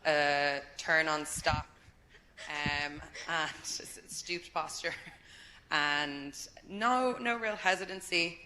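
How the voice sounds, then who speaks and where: A woman's voice: deep voice, slow, noisy background. A young woman medical student, talking politely and hesitantly through a practice exam with plenty of fillers. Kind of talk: role-play exam demo.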